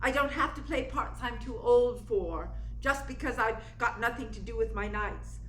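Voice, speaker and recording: Woman playing witty theatrical stage diva, bright voice. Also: on the high side, moderate pace, soft background music, lightly acted. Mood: earnest, emphatic. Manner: theatrical, declarative, sardonic. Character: proud aging stage star, witty, dramatic, newly contented. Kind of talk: stage-style radio play acting. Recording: roomy echo, faint noise.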